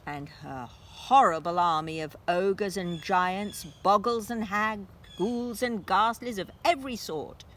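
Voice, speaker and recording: A woman narrating a children's story aloud in an expressive, dramatic style with deliberate phrasing. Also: medium pitch, a little hiss or hum.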